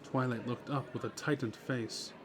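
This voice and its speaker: A man's voice: mid-range voice, steady tone, moderate pace. A man reading scripted story narration as the narrator of a dramatic reading. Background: music.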